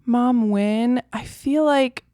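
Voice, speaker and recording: A woman's voice: fairly high pitch, slow pace, warm tone, no room sound, clean studio sound. A woman co-hosting a podcast, telling stories casually with lots of fillers and laughter. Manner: chatty, many fillers, bursts of laughter. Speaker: podcast co-host.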